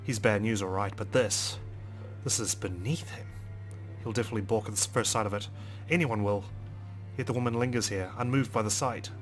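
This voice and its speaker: A man doing a let's play, reading a visual novel aloud with theatrical expression. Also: on the low side. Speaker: let's player. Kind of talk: dramatic read-aloud of a visual novel with asides.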